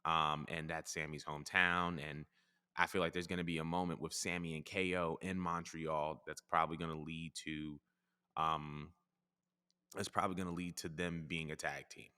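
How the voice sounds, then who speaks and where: A man hosting a podcast, giving his opinions in a measured way with frequent hedges. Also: very deep voice, little pitch movement, bright, forceful tone, smooth, in a large hall. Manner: measured, hedging, many ums and I-feel-likes. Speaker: podcast host. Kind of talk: casual podcast discussion.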